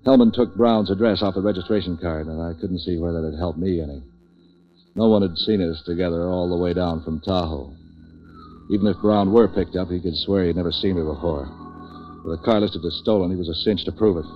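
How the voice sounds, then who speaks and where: An actor playing a street-wise, wisecracking hired tough who narrates a 1940s radio detective drama in a clipped, deadpan way. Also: male voice, low-pitched, a little fast, soft background music, lightly acted. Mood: deadpan, world-weary. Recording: dull vintage 1940s radio recording.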